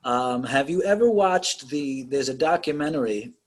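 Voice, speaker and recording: A man with an ordinary mid-range pitch hosts a casual interview over a video call. He rambles through long stories with plenty of fillers and laughs now and then. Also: animated, moderate pace. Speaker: podcast host.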